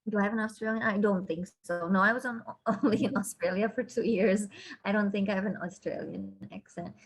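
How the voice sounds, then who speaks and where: A woman who is a university counselor, giving measured, thoughtful and encouraging answers in an interview. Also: medium pitch, steady tone, husky, low-quality recording.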